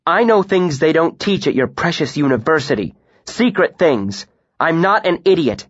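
A man voicing a proud, sharp-tongued young woman who speaks with biting scorn. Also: fairly high pitch, sing-song, normal speed, slightly rough, dry recording, dull audio, voice pushed up. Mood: scornful anger.

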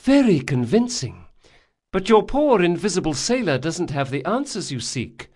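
A man voicing a composed woman envoy in an audiobook, coolly amused, formal and measured. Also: high voice, sing-song, a little mellow, slightly rough, pitched above the performer's own voice, heavily acted. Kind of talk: audiobook character acting.

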